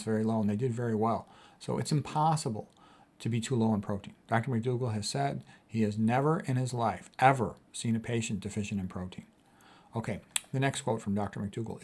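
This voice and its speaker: A man with a fairly low voice speaks as a physician giving an informal lecture. He explains things plainly and bluntly, stressing his main claims and often repeating them for emphasis. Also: a little mellow. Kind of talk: informal explanatory health lecture, commenting on quotes.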